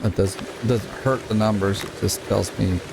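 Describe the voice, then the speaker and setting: A man's voice: on the low side, steady tone, a little slow, creaky, background noise. A man from Utah giving casual, rambling commentary as he plays a video game, muttering at it as he goes. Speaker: gaming YouTuber. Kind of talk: casual let's-play commentary.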